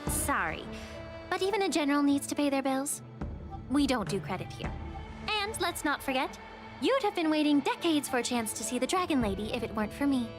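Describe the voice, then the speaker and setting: A high pitched sassy healer girl in a game, super animated, businesslike. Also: fairly quick. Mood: sassy, insistent. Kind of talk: scripted game voice acting. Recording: game music behind.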